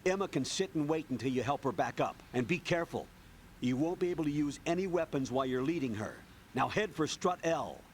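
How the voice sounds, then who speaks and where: A man voicing a brisk male commander. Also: a little high, lively intonation, slight background noise, natural voice. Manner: brisk instructions. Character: male commanding officer, instructive over radio.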